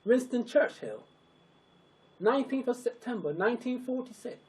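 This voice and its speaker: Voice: mid-range voice, lively intonation, normal speed, a little mellow. A narrator delivering a scripted public address in solemn, emphatic, declamatory style, breaking sentences into short, weighted phrases.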